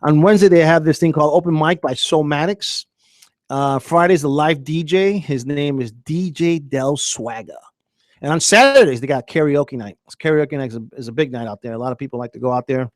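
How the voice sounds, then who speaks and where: A man's voice: a little high, a little fast, no echo, clean studio sound. A man hosting a casual sports radio show, chatting loosely with fillers and reading ads live.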